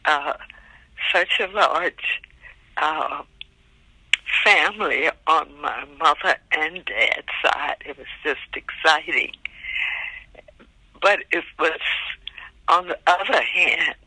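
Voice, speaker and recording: A woman in her eighties from Montgomery, Alabama, recalling her childhood slowly and reflectively for a family oral history interview, with soft pauses. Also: sits low, slightly rough, no room sound, poor audio. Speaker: interviewee, great-aunt.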